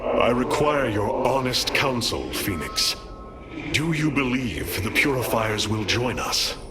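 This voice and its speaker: An actor playing a noble, thoughtful alien leader in a video game, with stately, formal speech. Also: male voice, medium pitch, very animated, takes it slowly, loud music behind the voice. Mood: earnest resolve. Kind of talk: dramatic video game voice acting.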